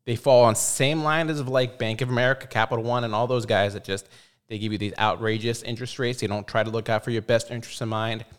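A man's voice: medium pitch, fairly even intonation, fast talker, smooth. Blunt, opinionated man in casual chat.

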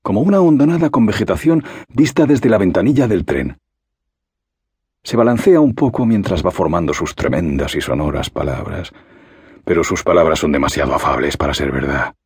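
A man voicing an observant, imaginative schoolboy in an audiobook, speaking in a wry and lively way. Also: sits low, normal speed, breathy edge, dry recording, clean studio sound, heavily acted. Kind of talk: audiobook character reading.

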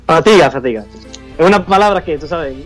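A man who grew up speaking Spanish at home and now mostly speaks English, chatting as a game designer guest and often pausing to search for words. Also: fairly high pitch, expressive, faint music behind. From United States, Cuban family. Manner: halting, searching for Spanish words, many fillers. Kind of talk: casual interview chat.

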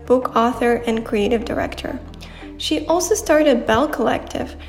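High, gravelly-edged woman co-host. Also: a little mellow. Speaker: podcast co-host. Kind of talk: scripted podcast intro.

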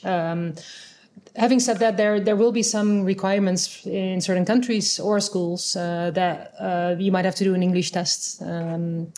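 Dutch woman with a mellow voice. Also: fairly low pitch, a little hiss or hum. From Netherlands. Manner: thoughtful, with frequent restarts and fillers. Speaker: guest, international teacher. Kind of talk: casual interview conversation.

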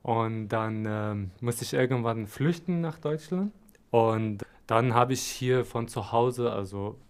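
A man's voice: medium pitch, slow, clear, resonant tone. Friendly man in his twenties. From Syria. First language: Arabic. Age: 20s. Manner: friendly, thoughtful, slightly non-native phrasing. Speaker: interview guest. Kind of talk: casual interview answers.

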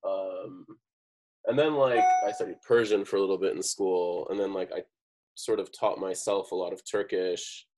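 A man's voice: low voice, fairly even intonation, normal speed, poor audio. A man who works as a bartender, chatting casually and explaining at length with lots of fillers. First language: English. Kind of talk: casual conversation.

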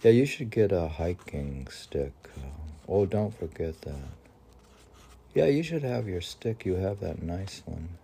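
A man's voice: deep voice, lively intonation, unhurried, gravelly, warm tone, husky. A man reading poetry aloud and drifting into casual, musing asides in a conversational way. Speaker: poetry reader.

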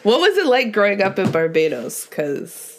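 A woman's voice: medium pitch, sing-song, normal speed, a crowd in the background. A woman hosting a podcast in a casual, conversational way, addressing listeners in an informal, folksy style. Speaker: podcast host interviewing her father.

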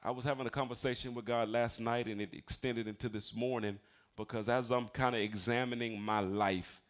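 Male preacher, forties, American accent, mid-pitched voice, emphatic yet conversational sermon delivery with personal testimony. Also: steady tone, brisk. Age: 40s. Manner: emphatic, conversational, calls for amens. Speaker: pastor. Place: speaking to a congregation in a church building.

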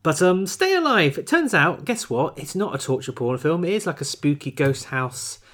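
A man's voice: on the high side, very animated, normal speed, fairly bright tone. A man co-hosting a podcast in casual chat, with dry, sarcastic humor. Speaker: podcast co-host.

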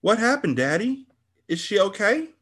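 A man's voice: very animated, normal speed, fairly bright tone, husky, heavily acted. A man voicing a pleading teenage girl. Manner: pleading, high and strained. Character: frightened teenage daughter pleading.

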